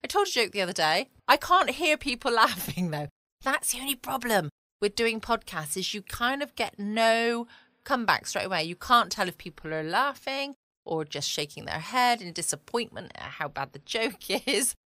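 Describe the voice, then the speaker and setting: Bright-voiced southern English woman, chatty host. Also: a little high, sing-song, normal speed, no room sound, clean studio sound. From England. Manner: chatty, rambling, playful. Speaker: podcast host. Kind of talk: casual bedtime podcast chat. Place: small home studio.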